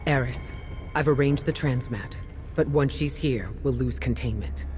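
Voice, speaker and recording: A man's voice: mid-range voice, unhurried, darkish tone, natural voice. An actor playing a tense male ally who gives an urgent, matter-of-fact briefing in a game cutscene. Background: music score.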